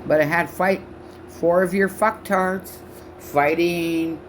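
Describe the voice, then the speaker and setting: A woman in her sixties from Canada ranting loudly and profanely to camera on her own channel, her speech halting from false teeth. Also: fairly low pitch, fairly even intonation, a little hiss or hum. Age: 60s. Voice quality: loud voice. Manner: shouting, profane, accusatory. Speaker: vlogger. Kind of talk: angry rant to camera.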